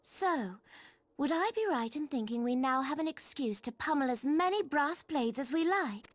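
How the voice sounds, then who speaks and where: A woman's voice: high voice, lively intonation, normal speed, no echo. An actress playing a brash, cheerful young female brawler in a video game, with a blunt, informal, cocky way of talking.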